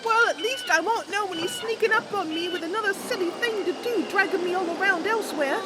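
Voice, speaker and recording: A woman voicing a small young female wood elf adventurer in a game roleplay, halting, polite and dryly teasing, with an exasperated streak. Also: extremely high pitch. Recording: loud music behind the voice.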